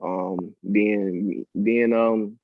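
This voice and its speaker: A man's voice: on the low side, extremely slow, dry recording, narrow, tinny audio. A man who is a guest on a podcast, speaking casually and laughing as he reminisces. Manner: laughs often, relaxed and reminiscing. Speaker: guest artist. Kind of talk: casual conversation.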